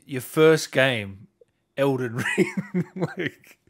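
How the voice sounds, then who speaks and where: A man's voice: mid-range voice, animated, extremely slow. A man hosting a casual interview, enthusiastic and admiring in the way he talks. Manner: effusive, admiring.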